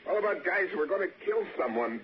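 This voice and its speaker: An actor playing a nervous, defensive young murder suspect with a hesitant, stammering delivery in a 1960s radio drama. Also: male voice, sits high, expressive, fast. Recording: dull, faintly echoing, noisy old radio recording.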